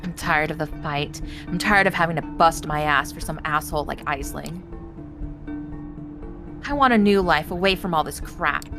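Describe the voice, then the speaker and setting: A bitter, defiant sorceress from a vampire game drama, acted by a woman. Her voice sits on the low side and she speaks bluntly, full of scorn and weariness. Music plays clearly behind her. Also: moderate pace. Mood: weary, fed up. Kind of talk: amateur voice acting of a visual novel.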